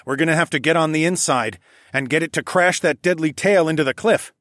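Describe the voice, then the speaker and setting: Guy doing hot-headed young fighter in a fantasy audiobook, raised high voice, urgent and loud. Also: brisk, dry recording, clean studio sound, close to an everyday voice. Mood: urgent, determined. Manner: urgent, shouting, profane. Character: Alex, hot-headed bold young male fighter shouting plans mid-battle.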